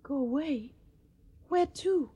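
A woman's voice: sits high, animated, slow pace, warm tone. A woman playing an earnest, tender young farm woman with a Southern accent in a radio drama. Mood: pleading, reproachful.

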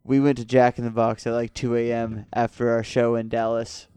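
A man who is a guest from a band, chatting casually with a loud, carrying voice and telling stories animatedly. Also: medium pitch, monotone, moderate pace, smooth, no echo. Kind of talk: casual chat.

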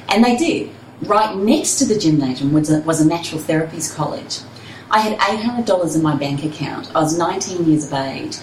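A woman with a New Zealand accent, a guest telling her story in a chatty, easygoing way. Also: fairly low pitch. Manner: warm, chatty, laughs easily. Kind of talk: relaxed interview storytelling. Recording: echoey room, distant mic, faint hiss.

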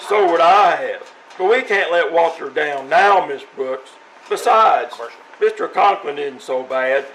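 A man's voice: a little high, lively intonation, unhurried, a bit bright, slight background noise, poor audio. A man playing an easygoing, good-natured teenage boy in an old-time radio comedy. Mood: reassuring.

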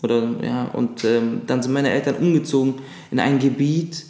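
A man in his thirties from Lebanon, whose first language is Arabic, recalling his childhood as an interview guest with frequent pauses and fillers. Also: medium pitch, warm tone. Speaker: guest, actor. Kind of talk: casual interview chat. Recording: echoey room.

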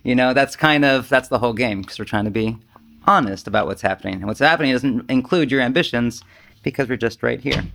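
A man's voice: medium pitch, a little fast, gravelly, a bit bright. A man speaking as a Zen teacher in an informal dharma talk, with a self-deprecating, rambling manner.

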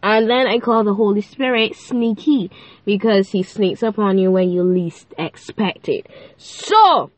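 A woman's voice: mid-range voice, lively intonation, measured pace, no room sound. Bubbly nasal teenage girl podcaster. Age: teens. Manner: bubbly, chatty, playful, many fillers. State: stuffy, nasal from sinuses. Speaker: podcast host. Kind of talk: casual faith podcast chat.

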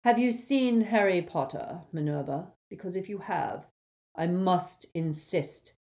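A woman reading aloud, voicing a cold, menacing male professor who speaks in a slow, silky, measured way. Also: lowish voice, warm tone.

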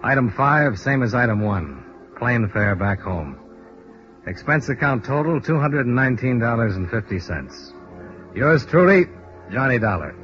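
An actor playing a terse, cool insurance investigator with a clipped, dry delivery in 1950s hardboiled radio drama. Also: male voice, mid-range voice, sing-song, unhurried, music in the background. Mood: dry, businesslike. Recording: dull old radio broadcast recording.

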